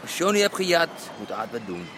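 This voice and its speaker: Wary underworld regular, mid voice, varied intonation, radio drama, outdoor city noise. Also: male voice, a little high, sing-song, brisk. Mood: frank. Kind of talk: Dutch radio drama acting. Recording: clear outdoor street noise.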